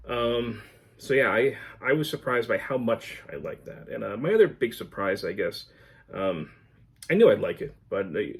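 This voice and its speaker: A man's voice: fairly low pitch, gravelly. A man talking casually as a book reviewer, reflective and a little hesitant as he picks his words. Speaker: book reviewer video host. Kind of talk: casual book-tag chat to camera.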